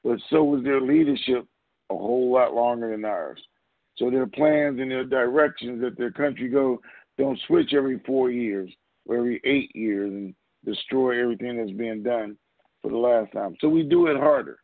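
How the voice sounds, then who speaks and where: A man's voice: mid-range voice, creaky, no echo. A man co-hosting a call show, giving informal opinions emphatically with repeated phrases and rhetorical questions over a phone conference line. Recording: muffled lo-fi conference call audio.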